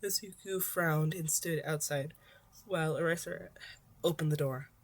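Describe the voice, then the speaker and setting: A woman's voice: low-pitched, normal speed. A woman reading a story aloud as narrator, in scripted audiobook style. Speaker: podfic narrator.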